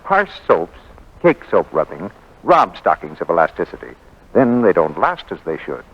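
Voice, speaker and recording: A man's voice: low-pitched, huge pitch swings, normal speed, husky. A man reading scripted advertising as a polished, emphatic 1940s radio announcer. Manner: polished, persuasive, emphatic delivery. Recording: muffled 1940s radio broadcast.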